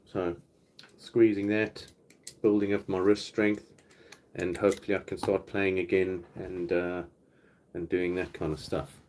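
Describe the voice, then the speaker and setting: A middle-aged man with a South African accent, hosting a casual live Q&A stream from home. He chats in a relaxed, rambling way and reads out viewers' comments as he goes. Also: low-pitched, measured pace, slight background noise. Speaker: livestream host. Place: home, indoors.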